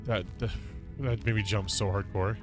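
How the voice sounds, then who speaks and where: A man's voice: on the low side, steady tone, normal speed. A man giving casual playthrough commentary as a game streamer. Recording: game music behind the voice.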